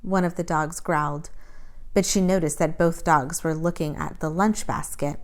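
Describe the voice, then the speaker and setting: A woman reading a children's novel aloud as an expressive story narrator. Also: low voice, moderate pace. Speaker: story reader.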